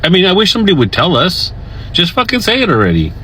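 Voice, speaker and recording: A man's voice: sits high, huge pitch swings, fast, bright, forceful tone, slight background noise, low-quality recording. A man talking casually to viewers in a blunt, fed-up way. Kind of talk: casual social media rant.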